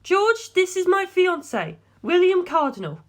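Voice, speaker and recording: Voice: extremely high pitch, big pitch swings, a little slow, voice pushed up, heavily acted. A narrator voicing an anxious, tearful, well-bred young woman in audiobook dialogue. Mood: polite, strained.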